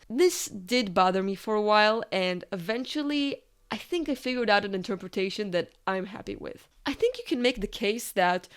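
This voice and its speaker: A woman delivers scripted analytical narration in the style of a video essay. Her pitch sits in the middle range, and her voice is very clear and smooth.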